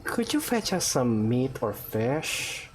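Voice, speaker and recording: A woman gives chatty, joking commentary while playing a game, with plenty of hesitant fillers. Her voice is extremely low for a woman yet lively in its rises and falls. A faint hiss sits behind her. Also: slow pace. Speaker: gameplay video creator. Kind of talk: casual commentary over a game.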